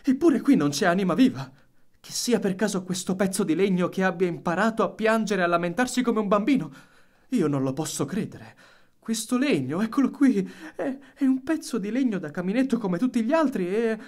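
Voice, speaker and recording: Man acting a nervous old storybook carpenter, blustering, muttering to himself, raised pitch, swooping intonation. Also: a little mellow. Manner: stammering, exclaiming.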